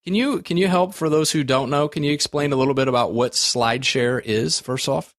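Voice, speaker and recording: A man's voice: medium pitch, brisk, no echo, clean studio sound. A man hosting a podcast, setting up questions with quotes and brief affirmations. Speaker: podcast host. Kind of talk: interview questions.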